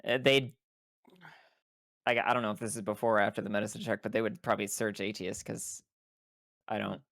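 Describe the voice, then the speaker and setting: A man with a creaky voice talks casually as a tabletop game player. He hesitates and thinks aloud, and the sound is dry. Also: lowish voice, little pitch movement, brisk, fairly bright tone, no echo. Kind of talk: casual chat.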